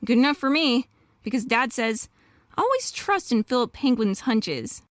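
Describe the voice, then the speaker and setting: A woman's voice: a little high, big pitch swings, a little slow, no echo, clean studio sound. A woman playing an earnest, admiring female wolf lawyer in a radio drama, speaking in an eager, articulate way. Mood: helpful.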